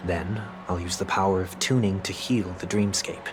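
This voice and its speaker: A man's voice: deep voice, fairly even intonation, noisy background. Stern, formal young man. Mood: resolute. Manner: measured and formal. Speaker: game character. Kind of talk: video game voice acting. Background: game background music.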